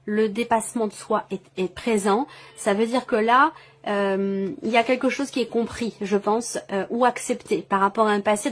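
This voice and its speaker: A woman giving an improvised tarot reading, explaining as she goes and often rephrasing while she searches for words. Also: medium pitch. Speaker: tarot reader, channel host. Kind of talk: tarot reading to camera, spoken off the cuff.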